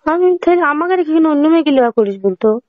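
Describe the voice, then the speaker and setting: A woman's voice: high-pitched, lively intonation, fast, no room sound. Tinny phone-line woman arguing. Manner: insistent, challenging. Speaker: wife on a phone call. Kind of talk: heated personal phone argument. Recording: tinny band-limited phone call recording.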